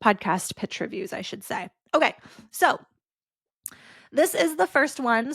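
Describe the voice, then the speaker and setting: A woman's voice: on the high side, no room sound, studio quality. A woman hosting a solo podcast, talking casually with lots of "like" fillers, her voice stuffy and congested. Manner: chatty, casual, with frequent "like" fillers. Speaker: podcast host.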